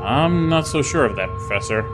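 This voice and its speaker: An actor playing a meek, wryly obedient young lab assistant in a science fiction audio drama, hesitant and deferential. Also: male voice, medium pitch, animated, normal speed, natural voice, rough recording. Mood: worried. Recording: music behind the voice.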